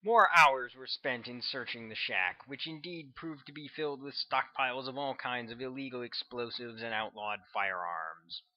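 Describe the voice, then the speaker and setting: A man reading his own novel aloud as scripted audiobook narration, at a middling pitch. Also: moderate pace, hardly any pauses. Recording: dull, lo-fi sound.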